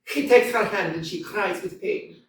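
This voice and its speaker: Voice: medium pitch, normal speed, creaky, a bit bright, slight background noise. Distant stage-direction reader in an echoey hall. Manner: plain reading, sometimes laughing. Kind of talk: reading stage directions aloud. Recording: echoey hall, mic from far off.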